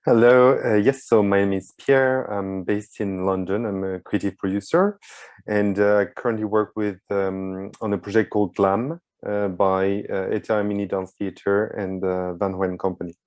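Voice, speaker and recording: A man introducing himself as a creative producer, halting with many fillers. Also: on the low side, level tone, darkish tone, dry recording. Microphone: video call.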